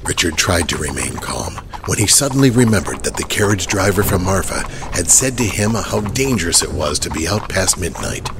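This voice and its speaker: A man's voice: low voice, expressive, moderate pace, hardly any pauses, background noise. A man narrating a horror audio drama in a dramatic, scripted storytelling style. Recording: clear horse and carriage ambience behind.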